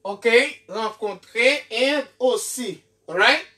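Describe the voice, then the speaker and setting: Slow, bright-voiced man teaching. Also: very high voice. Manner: slow, repeats each phrase, often asking "ok?". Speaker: English teacher. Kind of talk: classroom lesson. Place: small indoor room at a whiteboard. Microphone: camera mic from a few feet away. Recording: voice from far off the mic.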